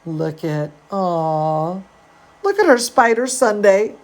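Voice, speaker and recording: Animated woman storyteller, unhurried and lively. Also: medium pitch. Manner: animated, drawn-out, expressive delivery. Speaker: storyteller, dance studio teacher. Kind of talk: reading a picture book aloud to children with chatty asides.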